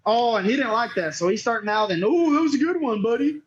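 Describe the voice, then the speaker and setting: High-pitched man, muffled lo-fi audio. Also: fairly quick, a little hiss or hum. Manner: profane, rambling, quotes others. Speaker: podcast guest, cornhole player. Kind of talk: casual interview storytelling.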